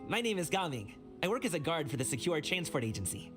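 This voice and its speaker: An eager, friendly young man from an anime game. He talks casually and modestly in a very animated voice, with music clearly behind him. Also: on the high side, quick pace. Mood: friendly, sheepish. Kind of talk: anime video game voice acting.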